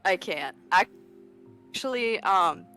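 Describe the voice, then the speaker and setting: A young woman in her twenties with a deep voice, chatting casually and rambling on a live stream. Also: expressive, drawn-out words, pushed and bright, faint music behind. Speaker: streamer guest.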